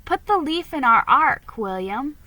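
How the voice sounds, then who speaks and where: A woman's voice: high voice, sing-song, unhurried, pushed and bright, voice pushed up, full character voice. A woman voicing an earnest, protective young girl, a hopeful big sister in a storybook. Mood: hopeful, resolute.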